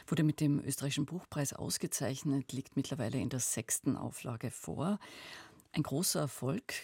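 A man's voice: fairly high pitch, vocal fry, warm tone, slightly rough, dry recording. A man hosting a literary radio interview in a studio, putting prepared questions in a formal, articulate way.

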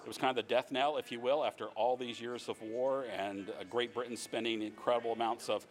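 A man explaining in an interview, relaxed, with fillers like "uh". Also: medium pitch, a little fast. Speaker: museum director interviewed. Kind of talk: interview answer.

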